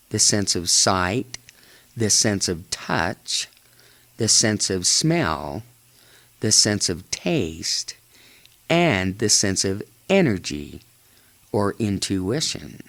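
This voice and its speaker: A man with a fairly low voice leads a guided meditation at an extremely slow pace. He speaks in short, halting phrases separated by long pauses, and his pitch moves widely as he goes. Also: pushed and bright. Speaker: guided imagery narrator.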